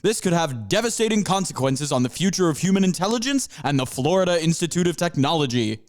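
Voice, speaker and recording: A man's voice: a little high, big pitch swings, quick pace. A man voicing a theatrical, upbeat panther mascot who leads students through time. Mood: grand.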